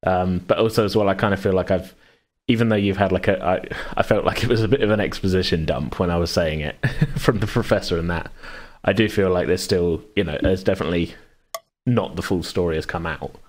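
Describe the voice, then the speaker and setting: Male podcast host, very low voice, rambling casual chat with chuckles and self-corrections. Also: fairly quick. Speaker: podcast host and game master.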